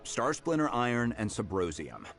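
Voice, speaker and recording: A man's voice: mid-range voice, huge pitch swings, normal speed, gravelly, fairly bright tone, soft background music, heavily acted. A man voicing a humble, friendly blacksmith in a video game, with a casual, hesitant, self-deprecating manner. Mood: helpful, musing.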